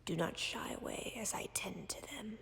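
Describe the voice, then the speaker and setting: A woman voicing a calm, ancient female dragon who tends a wounded human, speaking in a whispery, gravelly, measured and formal way in an audio roleplay. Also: mid-range voice, in a large hall, low-quality recording. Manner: measured, formal, dryly commanding.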